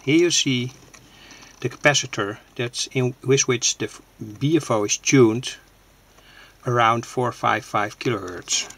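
A man with a creaky voice gives a halting, unscripted technical demonstration, explaining things plainly. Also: medium pitch, fairly bright tone. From Netherlands. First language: Dutch. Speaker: hobbyist radio builder, presenter. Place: indoors at a home workbench. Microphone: handheld camera's built-in microphone.

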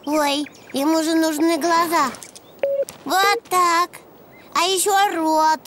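Женщина озвучивает весёлого маленького ослика-мальчика в детском мультфильме, говорит просто и по-детски, будто сама с собой. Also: female voice, very high voice, steady tone, slow, background noise. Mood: delighted. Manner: simple, childlike, self-narrating delivery. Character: cheerful little boy donkey, playful and imaginative. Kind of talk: children's cartoon dubbing. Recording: music behind the voice.

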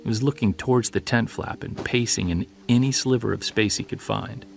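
A man's voice: fairly low pitch, fairly even intonation, a little fast, creaky, faint music behind. A man acting as a witness who recounts an unsettling childhood memory in a hesitant, conversational audio drama style. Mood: uneasy, reflective. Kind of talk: naturalistic audio drama storytelling.

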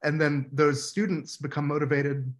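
A man in his thirties leading an online workshop on a video call, explaining points in a measured way while his voice goes hoarse. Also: a little high, level tone. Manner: measured, explanatory, says "right?" often. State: losing his voice, clearing throat. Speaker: workshop facilitator. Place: room at home, indoors.

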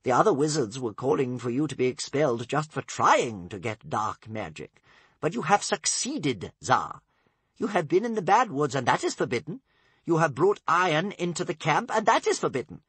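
A man voicing a grave, wise storybook advisor, solemn, admonishing and gently scolding. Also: on the high side, big pitch swings, normal speed, breathy edge, no room sound, pitched above the performer's own voice, exaggerated voice. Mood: stern warning. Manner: solemn, admonishing, rhetorical repetition.

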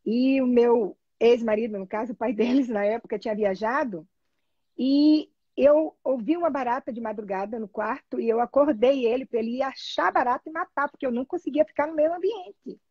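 A middle-aged Brazilian woman telling personal stories casually, in an animated, emphatic, chatty way over a video call. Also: fairly high pitch, brisk, husky, no echo. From Salvador, Brazil. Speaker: guest, therapy trainee telling her experience. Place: small room at home, indoors. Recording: dull, lo-fi video call audio.

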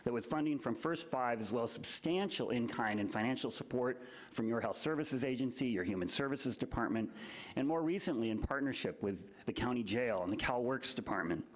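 A man in his forties giving prepared public remarks at a podium microphone in a large board chamber, measured and earnest. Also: medium pitch, lively intonation, rough recording. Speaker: public commenter, nonprofit director. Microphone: podium microphone, standing.

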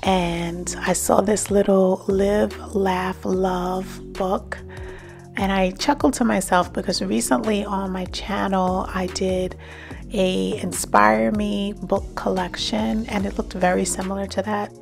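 A middle-aged woman narrating a shop-with-me vlog about home decor, enthusiastic and gushing over finds, sometimes dropping to a soft whisper. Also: mid-range voice, little pitch movement, takes it slowly, creaky, music in the background. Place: in a car, then walking through large stores.

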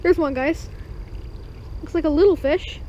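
An excited fishing vlogger narrating catches on a creek bank, calling out to the viewers as guys, recorded on a body-worn action camera. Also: high voice, measured pace. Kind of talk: excited first-person fishing commentary. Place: outdoors at a small creek bank. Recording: dull lo-fi camera audio with faint noise.

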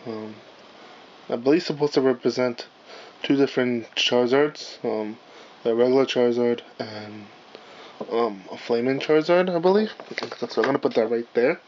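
Man host, hoarse and raspy, chatty with fillers, mid-pitched, dull lo-fi camera sound with faint hiss. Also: normal speed, darkish tone. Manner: chatty, apologetic, lots of fillers. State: hoarse, partly lost voice. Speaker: channel host, card unboxer. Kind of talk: casual unboxing vlog. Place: indoors at a table, camera on the cards.

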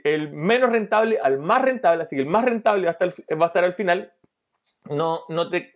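A middle-aged man coaching viewers through a screen-share tutorial, explaining step by step with fillers and restarts. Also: high voice. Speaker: YouTube money-making coach.

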